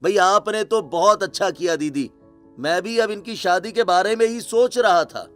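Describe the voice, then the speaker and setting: A man's voice: high voice, moderate pace, a bit bright, faint music behind, pitched above the performer's own voice. A man voicing a stern, domineering middle-aged family patriarch in a cartoon story, speaking emphatically. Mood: pleased. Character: stern middle-aged family patriarch arranging his nieces' and daughters' marriages.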